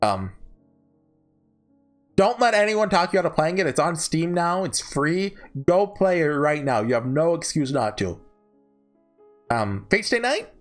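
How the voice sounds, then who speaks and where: A man's voice: a little high, sing-song, a little slow, long pauses. A man giving casual, off-the-cuff ranking commentary, rambling and often backtracking mid-sentence, with emphatic, enthusiastic fan opinions. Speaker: host ranking visual novels. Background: background music.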